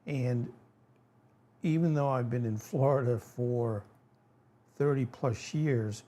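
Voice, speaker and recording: A man's voice: medium pitch, takes it slowly, mellow. A man in his seventies with a Rhode Island accent, casually explaining animal myths in a folksy, rambling way with many fillers. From New England. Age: 70s. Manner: rambling, folksy, frequent fillers and self-corrections. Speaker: channel host. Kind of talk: casual educational talk to camera.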